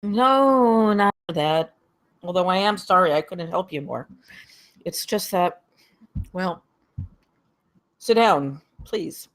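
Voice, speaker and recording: A man's voice: high-pitched, lively intonation, takes it slowly, pauses often, a bit bright, exaggerated voice. A man reading the part of a weary, apologetic middle-aged studio executive at a screenplay table read. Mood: apologetic, heavy. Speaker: actor at a live table read.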